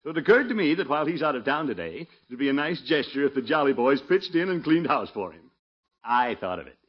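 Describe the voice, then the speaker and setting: An actor playing a pompous, jovial middle-aged uncle and town commissioner in a 1950s radio sitcom, blustery and pleased with himself. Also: male voice, mid-range voice, very animated, quick pace, breathy edge. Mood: self-satisfied. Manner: self-satisfied, chuckling, blustery. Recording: muffled 1950s radio recording.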